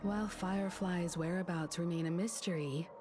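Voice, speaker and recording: Composed woman companion for a game story acted by a woman, lowish voice, measured and earnest, music underneath. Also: steady tone, lightly acted. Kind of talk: video game story dialogue. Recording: music behind the voice.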